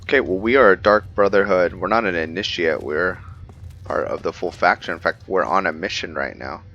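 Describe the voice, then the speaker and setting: A man's voice: deep voice, brisk, a bit bright, dull audio. A man giving casual video game commentary as he plays, thinking aloud in a musing way. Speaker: gamer hosting a roleplay playthrough.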